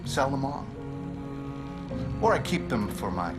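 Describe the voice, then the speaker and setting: A smug, sinister game villain with a mid-pitched voice that swings widely. He is condescending and mock-polite, drawing out his words as he talks about experimenting on androids. Loud music plays behind him. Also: sing-song, unhurried. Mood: smug, menacing. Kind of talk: dramatic video game acting.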